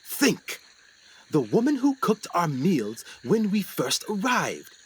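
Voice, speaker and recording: A man's voice: sits high, huge pitch swings, slow, slight background noise, exaggerated voice. An actor playing an earnest, haunted male explorer-doctor held in the jungle, in a dramatic, intense radio play style. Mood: urgent, alarmed. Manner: intense, dramatic, urgent questioning.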